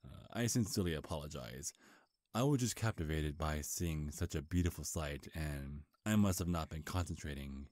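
A man playing a charming, sly male vampire in an intimate ASMR roleplay, soft-spoken, flirtatious and hesitant, with deliberate delivery. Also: extremely low pitch, no echo. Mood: smooth, flirtatious. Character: charming, sly male vampire, centuries old, courting prey. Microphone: close, dry microphone.

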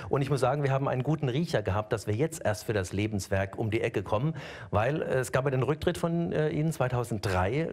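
A man's voice: mid-range voice, darkish tone. A middle-aged man hosting an award show, interviewing his guest on stage in a studio with a handheld mic. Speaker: TV show host. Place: dark TV studio with seated audience.

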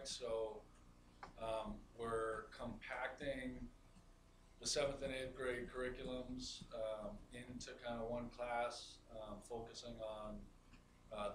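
A man speaks in a fairly low voice from across a large library meeting room, so his words ring off the walls and sit far from the mic. He talks in a halting way, full of fillers and restarts, as he walks a school board through his slides. A steady background noise runs under the echoey room sound. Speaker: math teacher presenting to a school board. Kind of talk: slide presentation at a public meeting.

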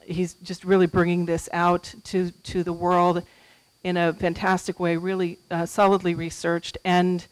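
A woman's voice: on the low side, completely flat delivery, a little slow, no echo, low-quality recording. A middle-aged woman hosting an event, enthusiastically introducing the guest speaker with many fillers. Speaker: event host. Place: small meeting room. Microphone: handheld mic.

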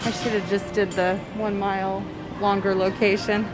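A woman filming a walking vlog through an empty mall on her phone, musing aloud in a wistful way with soft hums. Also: medium pitch, little pitch movement, normal speed, over music, poor audio. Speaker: vlogger. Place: inside an empty shopping mall. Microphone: phone camera held while walking.

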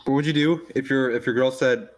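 A man's voice: medium pitch, a little fast, soft background music. A young man chatting casually with teammates during a game. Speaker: gamer, teammate. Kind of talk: casual gaming voice chat.

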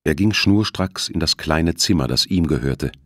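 A man's voice: rumbling low, animated, moderate pace, no echo, clean studio sound. A man narrating a suspense novel as an audiobook, reading from a script. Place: close, dry studio recording.

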